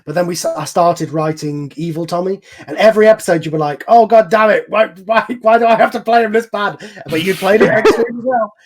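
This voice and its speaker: Guy hosting an online group chat, slightly high voice, rambles along casually. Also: brisk, hardly any pauses. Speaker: host and series creator. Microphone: online group voice call.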